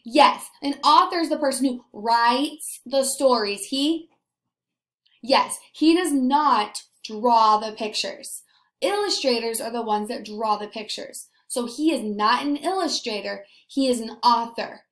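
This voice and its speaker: A woman teacher giving an expressive read-aloud lesson, asking young children questions and pausing for answers. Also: fairly high pitch. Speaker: elementary school teacher. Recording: echoey room.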